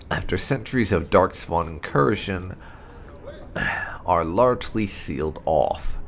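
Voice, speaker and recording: A man narrating fantasy lore from a script in a measured storyteller's manner. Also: lowish voice, slight background noise. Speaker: gaming channel host reading game lore aloud.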